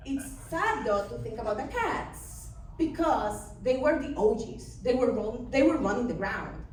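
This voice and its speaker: A woman from Costa Rica with a Costa Rican Spanish accent, performing a wry, deadpan stand-up set in a comedy club. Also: fairly high pitch, measured pace. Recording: far-off echoey room with background noise. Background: audience laughter and ambience.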